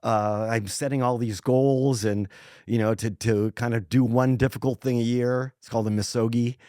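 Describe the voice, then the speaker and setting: A man in his sixties with a mid-pitched voice, speaking as an author being interviewed. He talks in a loose, thoughtful way, full of "you know" and restarts. The sound is close and dry, with no room around it. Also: fairly even intonation, moderate pace, warm tone, dry recording. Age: 60s. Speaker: guest author. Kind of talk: casual podcast interview.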